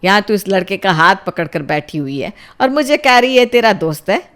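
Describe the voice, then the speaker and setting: A woman's voice: medium pitch, lively intonation, fairly quick. Woman acting a furious, strict Indian mother. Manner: scolding, threatening, forceful. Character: strict, furious middle-aged Indian mother scolding her children.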